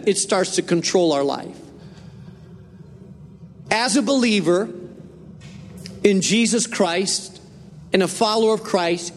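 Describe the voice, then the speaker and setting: A man from northern Spain preaches with a bright, high-pitched voice. He speaks earnestly and conversationally and circles back to drive his points home. His voice carries a long echo through a large church hall. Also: slight background noise. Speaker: pastor. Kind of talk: sermon.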